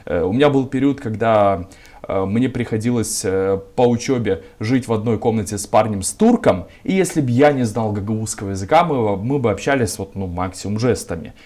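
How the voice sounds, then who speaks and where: A young man from Gagauzia, a civic activist, answering interview questions in a measured, argumentative way with lots of filler words. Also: lowish voice, expressive, darkish tone. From Gagauzia, Moldova.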